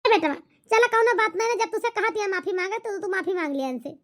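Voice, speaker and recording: A woman's voice: extremely high pitch, fast talker, a bit bright. A woman voicing a weary older village mother-in-law in a cartoon, exasperated and pleading, with an Awadhi accent. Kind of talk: rustic cartoon comedy dialogue.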